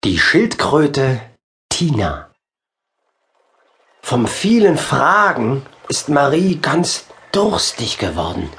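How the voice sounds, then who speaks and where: Male children's audiobook narrator, very unhurried, lively melodic delivery, ambience behind. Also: medium pitch. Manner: expressive storytelling. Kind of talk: scripted children's story narration.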